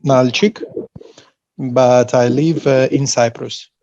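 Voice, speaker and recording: A man from Russia introducing himself in an online class, halting with many fillers, over a video call. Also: mid-range voice, fairly even intonation, mellow. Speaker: student. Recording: dull lo-fi video call audio.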